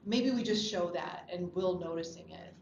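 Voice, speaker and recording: A woman with a lowish voice talks informally in a group discussion, feeling out her points in loose phrasing. The room rings around her, and the recording is muffled with clear background noise. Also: animated, moderate pace, a little mellow, echoey room. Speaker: table read participant giving script feedback.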